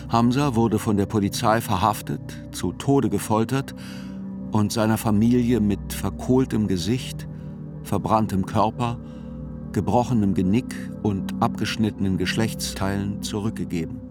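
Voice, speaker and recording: A man acting as a thoughtful reporter narrates a radio drama in a low register. The delivery is scripted literary reportage, sober and reflective, with faint music underneath. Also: measured pace, close to an everyday voice.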